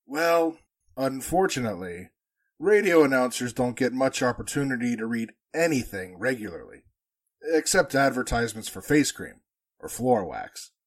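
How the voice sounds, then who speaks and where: A man's voice: mid-range voice, sing-song, moderate pace. A man playing a chatty, wisecracking radio announcer in a 1940s-style radio drama. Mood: chatty, joking. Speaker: actor in an amateur radio drama re-creation.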